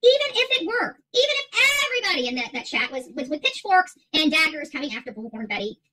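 A woman's voice: high-pitched, sing-song, quick pace, pushed and bright. A middle-aged woman chatting casually to livestream viewers as host, emphatic, repeating herself and rambling. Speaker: livestream creator and channel host. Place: small furnished room at home, indoors.

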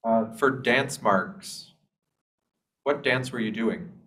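Man in the audience, mid-pitched voice, polite and a little halting. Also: a bit bright. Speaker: audience member asking questions. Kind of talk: Q&A questions.

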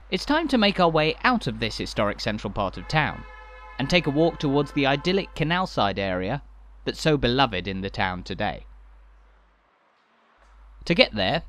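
A man narrates a town walking tour from a script, measured and enthusiastic like a guide. His voice sits at an ordinary middle pitch. The recording is close and dry, with no room sound. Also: dry recording.

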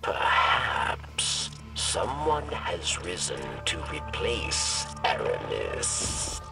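An old insectoid alien rebel from a video game, weary and creaky. He speaks extremely slowly and haltingly in a deep register, somewhat distant beneath loud music. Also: huge pitch swings, exaggerated voice. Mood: somber. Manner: halting, clipped, speaks of himself in third person.

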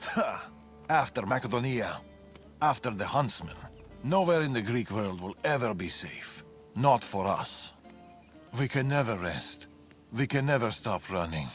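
An actor playing a world-weary, guarded fugitive in ancient Greece, speaking gravely and deliberately in a video game cutscene. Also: male voice, mid-range voice, lively intonation, lightly acted. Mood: grave, wary. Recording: game music behind the voice. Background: background music.

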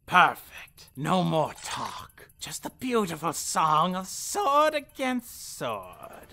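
A pompous, easily offended villain knight from an anime parody. His gravelly, forceful voice swoops wildly and theatrically. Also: a little high, huge pitch swings, slow, soft background music, full character voice. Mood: menacing, theatrical. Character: pompous, thin-skinned, gaunt villainous male knight.

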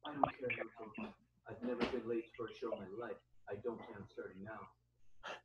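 A man acting a vain, slow-witted male supermodel, solemn, subdued and melodramatic, in a table read over a video call. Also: fairly low pitch, fairly even intonation, breathy edge. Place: home, remote video call. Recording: muffled, lo-fi video call audio.

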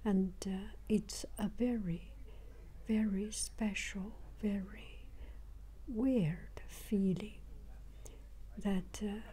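An older woman giving a reflective travel vlog narration, haltingly, repeating phrases as she searches for words, in a breathy, whispery voice. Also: mid-range voice, fairly even intonation, dark tone. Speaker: travel vlogger.

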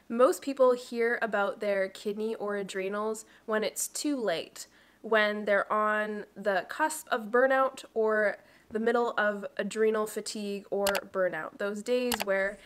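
A woman's voice: mid-range voice, steady tone, a little slow. Woman in her 30s, wellness host, a bit nasal from allergies, explanatory talk to camera at home. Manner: warm, explanatory, with chatty asides. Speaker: wellness coach and channel host. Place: home living room.

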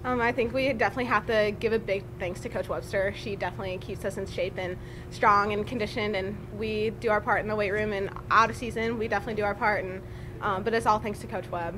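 A young adult woman rugby player giving short, modest answers at a press conference table mic. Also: quick pace. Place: press room at a draped table. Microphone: table mic on a small stand. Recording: steady background rumble.

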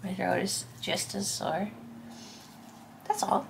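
A young woman vlogging at home, hoarse and congested from illness, talking about it in a plain, tired way. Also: mid-range voice, slow, slight background noise. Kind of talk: casual chat. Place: living room at home.

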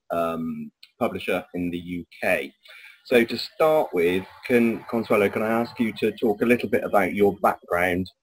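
Male host, 40s, slightly low voice, polite, video call. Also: rough recording. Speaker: interview host. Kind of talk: conversational interview. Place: home room.

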